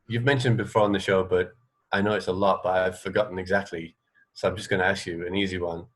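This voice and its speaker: An English man hosting a casual radio interview, talking in a rambling, hesitant way and often rewording himself. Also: low-pitched. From England. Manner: rambling, hesitant, self-correcting. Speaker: radio show host and interviewer.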